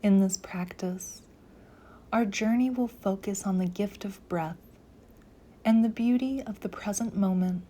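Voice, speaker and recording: A woman leading a guided meditation in a soft, gentle, soothing voice, with phrases often trailing into near-whispers. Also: mid-range voice, unhurried.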